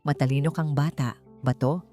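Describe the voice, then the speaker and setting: A woman voicing a cold, emotionless, threatening man in a story, with a flat delivery. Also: deep voice, normal speed, soft background music, close to an everyday voice. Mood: cold menace.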